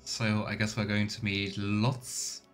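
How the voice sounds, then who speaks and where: A man's voice: on the low side, completely flat delivery, slow, a bit bright, soft background music. A man streaming a video game with casual, musing commentary, thinking aloud as he plays. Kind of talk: casual let's play commentary.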